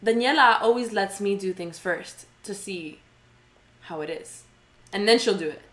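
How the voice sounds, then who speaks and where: A young woman in her early twenties co-hosts a podcast, telling a story in a loose, rambling way. She is giggly and leans on filler words, and her pitch sits right in the middle for a woman. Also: moderate pace, a bit bright. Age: 20s. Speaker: podcast co-host. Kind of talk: casual chat.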